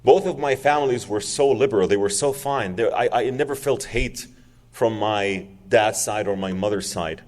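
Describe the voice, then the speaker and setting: A man's voice: on the low side, moderate pace, a little mellow, long reverb tail. A man, telling his personal story to an audience in an earnest, emphatic way, repeating words for stress. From Saudi Arabia. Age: 40s. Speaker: public speaker.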